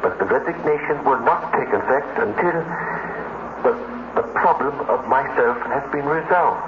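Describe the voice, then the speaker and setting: A man's voice: mid-range voice, moderate pace, natural voice. Male actor as telepathic robot, theatrical, knowing, 1950s radio drama, echoey, tinny noisy recording. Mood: insinuating.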